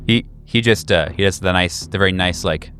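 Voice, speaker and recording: A man narrating a horror tabletop game as game master, improvising haltingly and searching for words. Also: deep voice, a bit bright. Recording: music behind. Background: clear background music.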